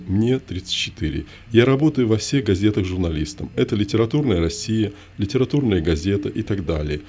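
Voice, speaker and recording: A man narrating his own memoir in the first person, with a measured, list-like delivery built on short, repeated refrains. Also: lowish voice, dark tone, slight background noise.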